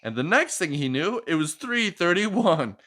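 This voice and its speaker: A man's voice: sits high, sing-song, measured pace, fairly bright tone. A man hosting a children's storytime, reading a picture book expressively with playful, chatty asides to a child. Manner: playful, asking questions and echoing the child's answers.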